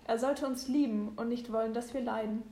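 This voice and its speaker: A woman's voice: fairly high pitch, fairly even intonation, fairly quick, dark tone, echoey room. A nineteen-year-old woman who volunteers in a hospital, speaking earnestly and asking questions.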